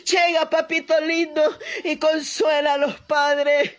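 High-pitched woman in fervent, slow prayer. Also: level tone. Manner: impassioned, pleading, loud crying-out, punctuated by repeated invocations. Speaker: prayer leader.

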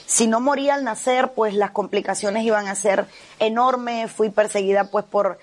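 A woman's voice: mid-range voice, steady tone, normal speed. A middle-aged woman pastor from Cuba, with a Cuban Spanish accent, telling her testimony with fervent earnestness in a TV interview.

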